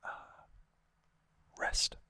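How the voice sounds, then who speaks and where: A man hosting ASMR, recapping a story in a breathy, unvoiced whisper with a gentle, halting delivery. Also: dry recording. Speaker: ASMR host.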